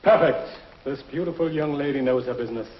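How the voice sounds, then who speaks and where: An actor playing a brisk, courteous, authoritative male prison warden in a 1950s TV drama, on a dull lo-fi soundtrack. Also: medium pitch, slight background noise, natural voice.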